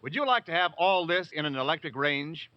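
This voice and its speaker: A man's voice: a little high, animated, fairly quick, no echo. A man working as a commercial announcer, reading scripted copy in 1940s radio ad style. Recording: dull, dry old radio recording.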